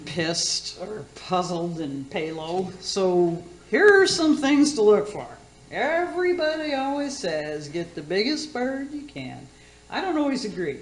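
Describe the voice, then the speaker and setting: A woman playing a batty, boastful older aunt who hosts a radio cooking show in a comic radio play, with a folksy, theatrical, catty manner. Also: mid-range voice. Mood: advising. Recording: roomy echoing sound.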